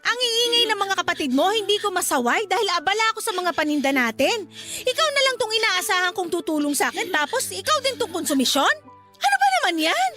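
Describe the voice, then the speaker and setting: Shrill, exasperated scolding Filipino mother. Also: exaggerated voice. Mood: angry scolding. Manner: nagging, sharp-tongued, emphatic rhetorical questions. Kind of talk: radio drama acting.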